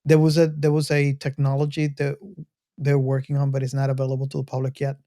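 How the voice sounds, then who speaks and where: A man with a soft-edged, dark timbre chats about a film as a co-host. He works through his thoughts in a measured way, at a medium pitch. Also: monotone. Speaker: movie discussion podcast co-host. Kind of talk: casual film discussion.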